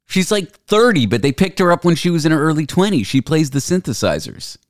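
Playful, animated male podcast host. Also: on the high side, a little fast, no room sound, clean studio sound. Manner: playful, goofy, jokey. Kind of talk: casual chat.

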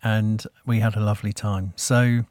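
A man hosting a podcast, talking in a relaxed, rambling way with many fillers. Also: lowish voice, normal speed, no room sound, clean studio sound. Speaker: podcast host. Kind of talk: casual interview chat.

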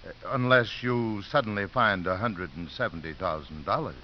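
Man acting apologetic church official, lowish hesitant voice, 1950s radio, hiss and muffled sound. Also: normal speed, natural voice. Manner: hesitant, soft-pedalling. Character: apologetic, tactful senior clergyman breaking bad news.

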